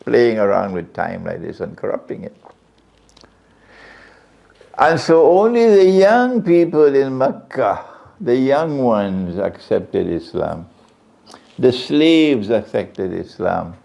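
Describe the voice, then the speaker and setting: Male preacher, gravelly, animated delivery, dull lo-fi lecture tape. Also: medium pitch, slow pace, pauses often. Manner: rhetorical questions to the audience, repeats key phrases. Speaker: Islamic preacher. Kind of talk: religious lecture to a live audience. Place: lecture venue.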